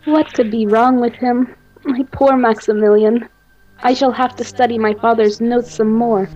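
A woman's voice: on the high side, fairly even intonation, moderate pace, loud music behind the voice, natural voice. A woman playing an obsessive, imperious mad scientist, formal and theatrical, in an amateur radio play with dull recording. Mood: doting, soothing. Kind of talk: gothic radio play acting. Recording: dull, muffled home recording.

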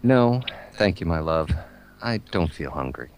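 Radio drama madman male actor: haunted doctor, anguished, halting, theatrical, very animated pitch, unhurried. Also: no echo, full character voice. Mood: gloomy, courteous. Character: tormented, gloomy doctor going mad, haunted by voices. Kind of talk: melodramatic amateur radio play acting.

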